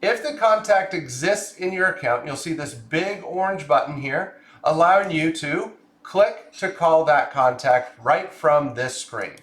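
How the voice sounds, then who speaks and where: Male software explainer, raised pitch, methodical instructional delivery, noticeable room echo. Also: lively intonation, measured pace. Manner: clear step-by-step explaining. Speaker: software tutorial presenter. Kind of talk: scripted screen-share tutorial narration.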